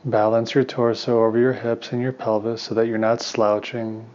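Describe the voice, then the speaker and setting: A man leading a guided meditation as a teacher, speaking in a calm, unhurried, soothing way with long pauses. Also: medium pitch, muffled recording.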